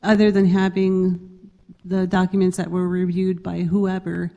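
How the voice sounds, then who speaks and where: Woman, panel speaker, dark mellow tone, conversational with fillers, hall reverb. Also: on the low side, completely flat delivery, measured pace, creaky, muffled recording. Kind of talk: panel discussion. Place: conference hall.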